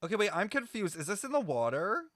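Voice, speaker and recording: Animated young male streamer, slightly high voice. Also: fast talker. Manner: expressive, slangy, swearing. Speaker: livestreamer. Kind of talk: casual game-reaction chat with viewers. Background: game music.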